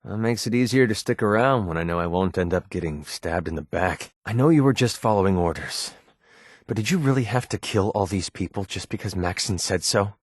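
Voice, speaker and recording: An actor playing a cocky, wary young mercenary in a video game, talking in a sardonic, casual, slangy way. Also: male voice, on the low side, lively intonation, fairly quick, dry recording, studio quality. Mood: reproachful. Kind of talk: video game companion dialogue.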